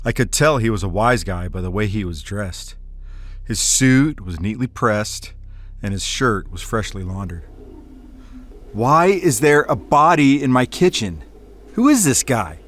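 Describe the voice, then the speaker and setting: A man acting as a wisecracking, excitable hardboiled detective type in a noir audio drama, with slangy tough-guy talk. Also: on the low side, measured pace. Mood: wry, puzzled. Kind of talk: hardboiled noir radio-play narration and dialogue.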